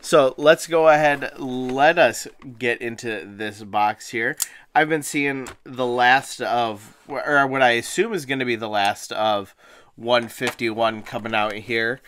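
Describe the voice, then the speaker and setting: A man hosting a card-opening video, chatting casually and a little hoarse from a cold, often restarting phrases and laughing as he talks. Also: mid-range voice, slow pace, fairly bright tone. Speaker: card-opening channel host. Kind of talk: casual unboxing chat. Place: indoors at a table, hands on camera.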